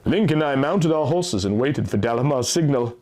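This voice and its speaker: A man's voice: mid-range voice, expressive, normal speed, a little mellow. A man reading a fantasy story aloud as dramatic, theatrical scripted narration. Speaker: audiobook reader.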